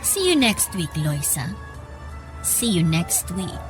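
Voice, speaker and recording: A haughty middle-aged mother in a radio drama, two-faced and condescending. Her pitch swings widely and theatrically, and music plays under her voice. She slips English into her talk. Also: sing-song, slow, low-quality recording. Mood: veiled threat.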